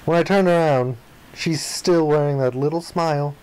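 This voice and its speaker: A man reading the narration and dialogue of a visual novel aloud in an expressive style. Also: fairly high pitch, moderate pace, clean tone, low-quality recording.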